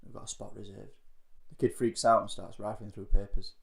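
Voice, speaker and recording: A man's voice: lowish voice, little pitch movement, a little fast, vocal fry. A man narrating a scripted first-person audio story in a descriptive, reflective manner. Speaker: narrator of an audio story, playing a scout leader.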